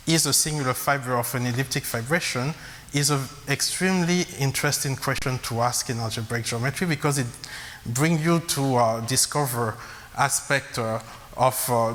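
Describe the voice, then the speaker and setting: A man who grew up in Congo giving a math lecture, halting with frequent fillers and restarts. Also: mid-range voice, fairly bright tone. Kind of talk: academic lecture at a blackboard. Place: lecture hall. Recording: long reverb, faint hiss.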